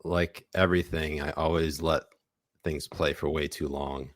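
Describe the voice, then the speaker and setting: A man's voice: very deep voice, fairly even intonation, a little slow, no room sound. A man co-hosting a podcast, chatting casually about politics with sarcastic, mock-serious remarks. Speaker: podcast co-host.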